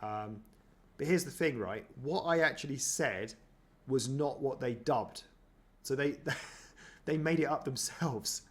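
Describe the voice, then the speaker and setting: A man chatting casually as he reacts to footage of himself, self-deprecating, with many ums and restarts. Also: medium pitch. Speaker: running vlogger.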